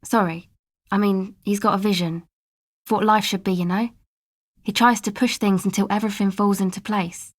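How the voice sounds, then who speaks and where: A woman's voice: medium pitch, steady tone, normal speed, no echo, clean studio sound, natural voice. An actress voicing a laid-back, guarded young woman from a dance music crowd, speaking casual, slangy video game dialogue with an offhand manner. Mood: explaining. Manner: offhand, slangy, hesitant asides.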